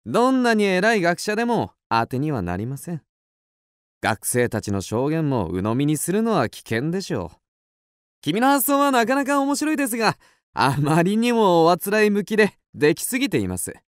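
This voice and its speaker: An actor playing a cool, self-assured young detective in a radio drama, explaining things deliberately and theatrically. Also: male voice, high-pitched, wildly swooping pitch, clean tone, no room sound, studio quality. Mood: confident, explaining. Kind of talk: Japanese radio drama acting.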